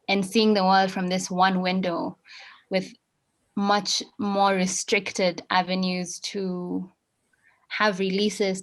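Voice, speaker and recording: A young adult woman, answering interview questions in a measured way with frequent pauses. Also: on the low side, vocal fry, low-quality recording. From Kenya. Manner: measured, pausing often with ums. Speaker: guest artist.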